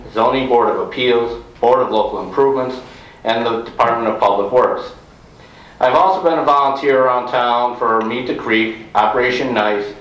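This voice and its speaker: A man with a booming voice, a village trustee candidate giving a formal prepared opening statement at a public forum, speaking into a handheld microphone. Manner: steady, formal, reads a list of credentials. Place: community meeting room with an audience. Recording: echoey public meeting room.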